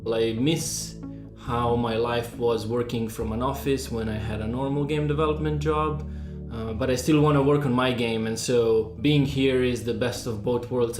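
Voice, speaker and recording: A man from Slovenia, a pixel artist, giving relaxed, chatty interview answers. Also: medium pitch, vocal fry. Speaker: pixel artist and game developer. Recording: roomy sound with music underneath.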